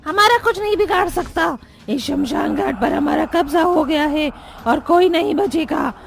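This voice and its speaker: Woman voicing a high-pitched, gloating witch. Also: gravelly, over music. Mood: menacing. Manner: taunting, menacing, drawn-out delivery. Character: gloating bloodthirsty female witch ghost. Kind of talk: animated horror story dialogue.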